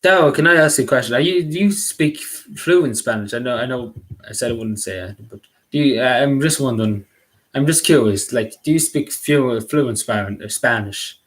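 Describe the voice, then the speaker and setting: A young man from Derry in Northern Ireland chats loosely with viewers on his live stream. His Derry accent is strong, and his mid-pitched voice wanders from thought to thought. He stumbles, restarts and apologizes as he goes. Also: low-quality recording. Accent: Derry, Northern Irish. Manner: halting, rambling, apologetic, full of restarts. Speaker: livestreamer.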